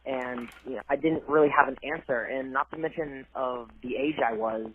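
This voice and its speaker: A man's voice: medium pitch, normal speed. A young man from Cambodia, a college athlete, talking earnestly and a bit hesitantly in a phone interview. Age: young adult. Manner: soft-spoken, earnest, hesitant with fillers. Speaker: guest athlete. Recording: tinny phone line with faint hiss.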